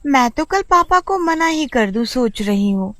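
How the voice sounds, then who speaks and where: A shrill, excitable young girl in a cartoon, voiced by a woman. Her voice is very high and lively, full of exclamations and pleading, with faint music behind. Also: measured pace, dry recording, exaggerated voice. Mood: excited, pleading.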